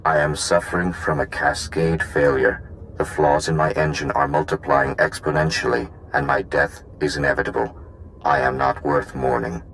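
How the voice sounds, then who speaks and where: An actor playing an ancient, dying machine gatekeeper in a video game, solemn and impassive, speaking in formal, clipped reports. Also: male voice, extremely low pitch, fairly even intonation, moderate pace, fairly bright tone, slight background noise, poor audio.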